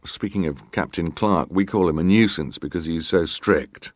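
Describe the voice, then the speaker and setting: Deep-voiced, clipped ship's officer. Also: male voice, moderate pace, no echo, clean studio sound. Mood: dutiful, firm. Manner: clipped, formal, matter-of-fact. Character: British ship's officer testifying, terse, guarded, formally respectful. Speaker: actor reading a witness's testimony. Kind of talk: dramatised reading of hearing testimony.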